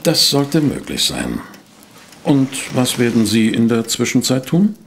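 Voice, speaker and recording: A man's voice: medium pitch, wildly swooping pitch, measured pace, a little hiss or hum. An actor playing a polite, wry, inquisitive newspaper reporter who narrates his detective adventures in a German audio drama.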